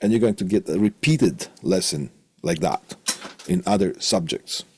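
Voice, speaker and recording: A man teaching as a college instructor in an informal technical lecture, deliberate, often repeating phrases and posing questions to himself. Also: sits low, a little mellow, a little hiss or hum.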